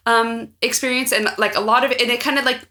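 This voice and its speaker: A woman's voice: a little high, fairly even intonation, fast, sharp tone. An American woman chatting as a podcast guest, rambling with lots of fillers like "like" and "you know.". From United States. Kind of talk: casual podcast chat.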